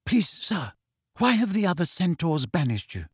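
A man voicing an eager, excitable schoolgirl pupil in an audiobook, earnest in manner. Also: high voice, normal speed, husky, no echo, studio quality, voice pushed up, exaggerated voice. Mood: polite, curious. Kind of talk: acted audiobook dialogue.

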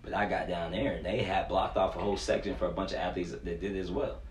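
A man in his twenties with a very low voice talks in a casual, slangy way, like a coach in an interview. The room around him is echoey, with a faint noise underneath. He comes across as earnest and informal. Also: fairly even intonation, quick pace. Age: 20s. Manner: informal, slangy, earnest, frequent "you know". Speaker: youth sports performance coach, interview guest.

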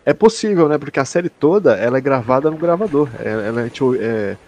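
A man's voice: medium pitch, expressive, quick pace, a little mellow. A young man with a Brazilian accent co-hosting a podcast in a casual, slangy and sweary way. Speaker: podcast co-host. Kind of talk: casual chat about a sci-fi audio drama.

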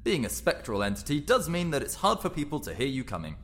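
A man's voice: mid-range voice, very animated, fast talker, fairly bright tone. Man playing a cheery ghost in cavernous reverb. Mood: mild, chatty. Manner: polite, mild, old-fashioned chattiness. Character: sweet, guileless male ghost, cheery and chatty. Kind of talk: audio drama acting. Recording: long hall reverb, faint noise.

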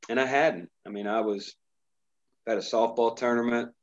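A man's voice: medium pitch, steady tone, normal speed, dull audio. A middle-aged pastor from Indiana, chatting casually in an interview and telling his story with many restarts. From Indiana, USA. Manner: rambling storyteller, frequent restarts.

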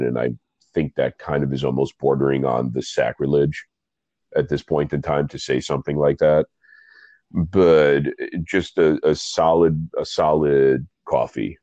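A man's voice: very deep voice, dark tone, dry recording, dull audio. A man with a stuffy nose hosting a casual interview, rambling with self-deprecating asides. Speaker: podcast host and coffee reviewer.

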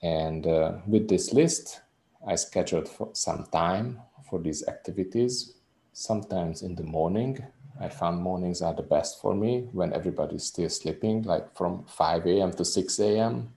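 A man's voice: low-pitched, moderate pace, vocal fry, mellow. A man in his thirties from Hungary, speaking English with a Hungarian accent, a musician guest earnestly explaining his work in a casual interview. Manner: earnest, rambling explanations with restarts.

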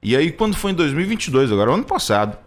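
A man's voice: a little high, very animated, very fast. Earnest hesitant man in his thirties. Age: 30s. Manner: hesitant, many restarts and fillers, earnest. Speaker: radio program co-host.

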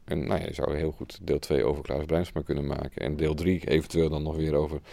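Very low, creaky-voiced man. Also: flat delivery, brisk, warm tone, no room sound. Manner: hesitant, informal, imitates sounds. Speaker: radio drama sound designer. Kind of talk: casual interview answers. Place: studio.

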